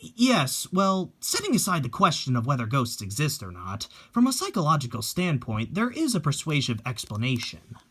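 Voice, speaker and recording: A man playing a measured, skeptical psychologist explaining things pedantically as a TV expert. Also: fairly high pitch, wildly swooping pitch, fairly bright tone, slightly rough, exaggerated voice. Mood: matter-of-fact.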